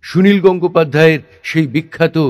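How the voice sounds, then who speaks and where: A man's voice: fairly high pitch, a little fast, natural voice. A man acting a proud, teasing, somewhat prickly male stage performer in a theatrical audio drama, full of wry questions. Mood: indignant, perplexed. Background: instrumental background music.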